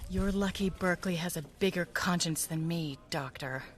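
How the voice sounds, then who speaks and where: An actress playing a tough, wry, vengeful adventuress in an audio drama, sardonic and commanding. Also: female voice, on the low side, normal speed, background noise, natural voice.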